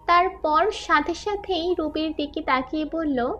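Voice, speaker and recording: Female narrator for scripted dramatic fiction, high register, faint music bed. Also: a little slow, fairly bright tone, muffled recording. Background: faint background music.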